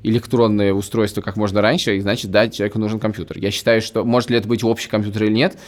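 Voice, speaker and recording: A man's voice: fairly low pitch, little pitch movement, rapid-fire. A man in his thirties co-hosting a podcast, chatting casually and emphatically, often repeating and rephrasing himself. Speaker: podcast co-host. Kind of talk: casual opinionated podcast chat.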